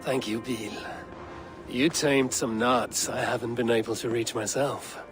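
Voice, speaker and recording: Man playing a measured, earnest Viking raider. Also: medium pitch, steady tone, over music, natural voice. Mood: wry, soft. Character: steadfast, curious Viking raider, adult warrior from Norway.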